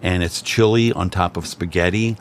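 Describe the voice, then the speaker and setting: Man, very deep voice, dry self-deprecating humor, casual chat, faint background noise. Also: fairly even intonation, moderate pace. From Cincinnati, Ohio. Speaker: guest.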